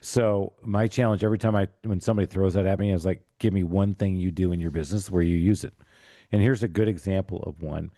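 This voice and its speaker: A man's voice: low-pitched, steady tone, fast, dark tone, no room sound, studio quality. A middle-aged man hosting a casual interview with jokey banter. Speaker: podcast host.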